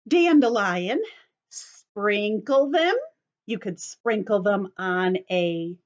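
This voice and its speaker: A woman's voice: a little high, lo-fi sound. A woman teacher demonstrating a craft step by step for young children, speaking simply and instructively and addressing them directly. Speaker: children's story-time teacher showing a craft.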